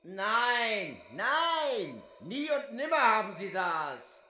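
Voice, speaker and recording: A man acting a pompous, indignant gentleman writer in a radio drama, scornful and over-articulated. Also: sits high, big pitch swings, drawn-out words, full character voice. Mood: haughty indignation. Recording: roomy, echoing studio acoustic.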